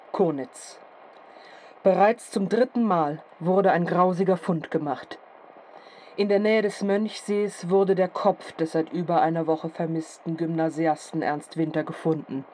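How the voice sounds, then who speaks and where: A female radio reporter with a slightly low voice. She is formal and measured, with a dramatic hunger for scandal, in a radio play. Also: mellow. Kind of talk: radio news announcing.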